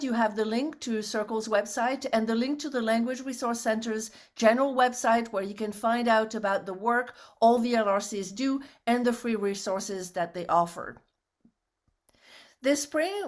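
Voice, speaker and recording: A woman hosting an academic webinar over a video call, reading announcements and introducing the speaker in a measured way. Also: on the high side. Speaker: webinar host. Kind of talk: formal introduction.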